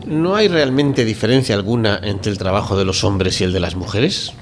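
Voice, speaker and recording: A man's voice: on the low side, normal speed, a little hiss or hum, lightly acted. A man acting an earnest, conventional doctor in a radio drama, formal and polite, with a hesitant, flustered manner. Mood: polite, uneasy.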